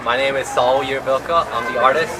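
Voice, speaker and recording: A man's voice: mid-range voice, steady tone, fairly quick, over a noisy crowd, heavy background noise. A man from Peru who paints on glass, explaining his technique in a matter-of-fact way at an art fair. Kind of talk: casual self-introduction.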